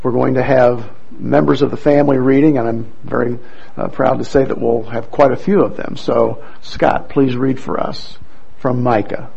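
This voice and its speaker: A man leading a church service, explaining things deliberately to a congregation over a microphone. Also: medium pitch, steady tone, creaky, dark tone, breathy edge, highs cut off. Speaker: church service leader introducing readers. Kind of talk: addressing a congregation, explanatory announcements.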